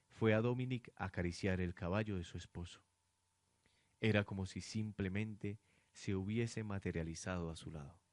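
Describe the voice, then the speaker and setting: A young man reading dramatic scripted narration for a radio drama, in a noisy amateur recording. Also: fairly low pitch. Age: young adult. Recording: noisy amateur student production.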